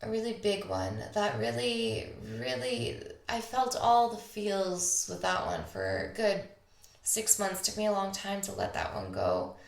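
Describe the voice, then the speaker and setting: A woman with a slightly raspy voice full of vocal fry, recorded in an echoey room. She hosts a spiritual podcast alone, speaking in a confiding, unscripted way. She leaves thoughtful pauses as she goes. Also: medium pitch, sing-song. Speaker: podcast host. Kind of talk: intimate solo spiritual podcast talk. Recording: echoey, roomy sound.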